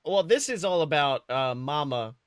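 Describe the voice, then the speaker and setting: A man co-hosting a casual movie review chat, talking in an excitable, slangy way with casual swearing. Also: a little high, normal speed, clear, resonant tone, no echo, studio quality. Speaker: podcast co-host.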